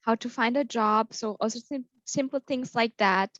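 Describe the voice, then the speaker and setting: A woman's voice: fairly high pitch, normal speed, a bit bright, no echo. A young woman from Austria, a guest on an interview, talking fluently and upbeat with many fillers. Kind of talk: casual interview. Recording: slightly dull, lo-fi call audio.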